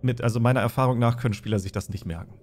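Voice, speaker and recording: A man's voice: mid-range voice, rapid-fire, a little mellow. A man acting as game master, patiently explaining tabletop game rules step by step and narrating.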